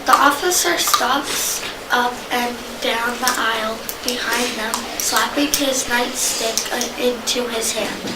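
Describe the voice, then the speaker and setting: Young woman reading a script, fairly high voice, echoey with loud noise. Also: slow pace, few pauses. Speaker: student narrator in a reader's theater. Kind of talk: amateur scripted narration read aloud. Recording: very noisy, echoey, distant mic.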